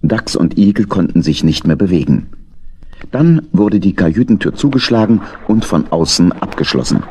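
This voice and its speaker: A man's voice: low-pitched, big pitch swings, normal speed, a little mellow, husky. A man narrating a children's adventure audio play in a scripted storytelling style.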